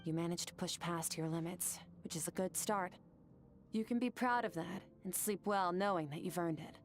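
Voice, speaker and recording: An actress playing a composed, blunt warrior mentor in a video game, demanding yet fair, with measured, matter-of-fact delivery. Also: female voice, lowish voice, close to an everyday voice. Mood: calm, instructive.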